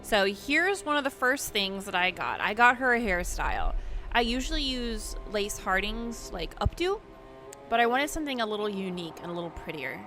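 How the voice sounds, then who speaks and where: A woman's voice: medium pitch, expressive, moderate pace, bright, forceful tone, soft background music. A woman hosting a gaming video, giving casual, chatty play commentary as she thinks aloud.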